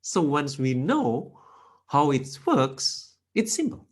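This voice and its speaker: A man with an Indonesian accent gives a programming tutorial in careful, non-native English, lecturer style. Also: breathy edge. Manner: slow, careful, with non-native grammar slips; lively intonation with sudden pitch rises.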